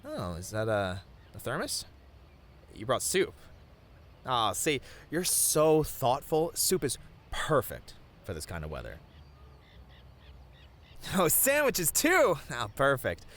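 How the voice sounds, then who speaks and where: A man playing a sweet, bashful boyfriend in a romantic ASMR roleplay, talking tenderly in a flustered, halting way. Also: mid-range voice, huge pitch swings, fairly bright tone, exaggerated voice. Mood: appreciative, happy. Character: sweet, bashful, rambling boyfriend on a picnic date.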